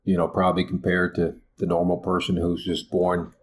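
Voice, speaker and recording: A very deep, flat-voiced man in his forties speaks earnestly, filling his sentences with "you know" and "uh". He is a college basketball coach from Liberia, recorded with a faint hiss of noise behind him. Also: moderate pace. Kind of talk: podcast interview, reflective storytelling.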